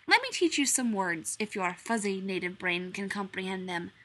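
A woman's voice: medium pitch, expressive, normal speed, sharp tone. A woman voicing a sneering, arrogant male alien stranger in a storybook reading. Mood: scornful. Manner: sneering, scornful.

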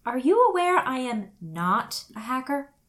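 Deadpan polite young guy, woman doing him, wry, swoopy intonation. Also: high voice, measured pace, pitched above the performer's own voice, heavily acted.

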